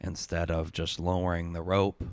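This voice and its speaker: A man narrating a dramatic spoken-word piece in an intense, deliberate, emotive way with weighted pauses. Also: low voice, little pitch movement, dry recording. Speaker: narrator, essayist. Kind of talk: dramatic spoken-word storytelling in second person.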